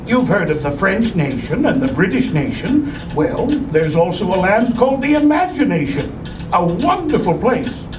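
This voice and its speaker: A performer voicing a kindly, playful old Santa marionette in a children's puppet musical, gently coaxing and encouraging. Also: mid-range voice, sing-song, normal speed, noisy background, natural voice. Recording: roomy puppet theatre, distant, loud music.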